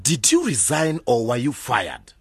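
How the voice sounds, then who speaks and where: An actor playing a stern, reproachful older uncle from a village in a radio drama, scolding and insistent. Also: male voice, medium pitch, wildly swooping pitch, measured pace, husky, no room sound, heavily acted.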